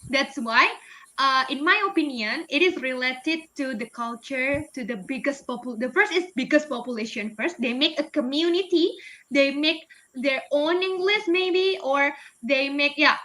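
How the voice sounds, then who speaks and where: A woman's voice: high voice, normal speed, bright, forceful tone, poor audio. A young woman with an Indonesian accent, answering webinar questions in a chatty way, laughing often, with many fillers and restarts. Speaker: guest speaker, English teacher.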